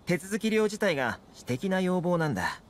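A confident, friendly young fixer in a video game. His voice sits a little high and moves expressively as he explains and persuades smoothly. There is faint ambient noise behind him. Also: sing-song, moderate pace. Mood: easygoing, friendly. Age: young adult. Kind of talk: Japanese video game voice acting.

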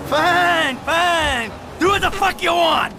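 A man's voice: extremely high pitch, slow, creaky, noisy background, full character voice. An actor playing a defensive, exasperated middle-aged retired criminal and father in a video game, sounding weary and irritable. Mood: irritated. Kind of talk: video game dramatic acting.

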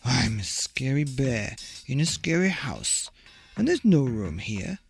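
Man doing a big grumpy storybook bear, creaky. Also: mid-range voice, very animated, slow, faint music behind, heavily acted. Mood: menacing. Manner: grumbling, menacing bluster.

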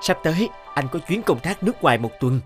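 A man's voice: fairly high pitch, very animated, fast. An actor playing an earnest, considerate man in a moral cartoon drama. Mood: gently reassuring. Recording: music under the voice.

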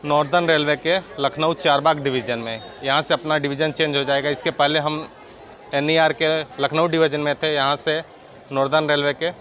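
A man's voice: fairly high pitch, steady tone, fairly quick, a bit bright, dull audio. A man in his thirties narrating a train travel vlog, listing station facts in an upbeat guide-like way, filmed on a phone at arm's length outdoors. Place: outdoors on railway platforms and at a moving train door. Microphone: phone camera at arm's length.

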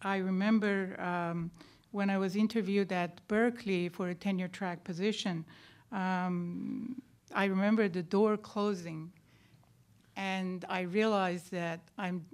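An older woman giving a personal account as an academic panelist, speaking deliberately with emphatic stresses into a table microphone in a lecture room. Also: medium pitch, steady tone, darkish tone. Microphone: microphone on a table stand.

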